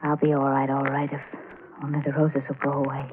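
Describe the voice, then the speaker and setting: An actress playing an anxious, haunted wife in a 1940s radio thriller, halting and stammering with breathless dramatic delivery. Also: female voice, a bass voice, completely flat delivery, noisy background. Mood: fearful, dazed. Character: anxious, haunted wife slowly unravelling with dread. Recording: tinny vintage radio recording with music.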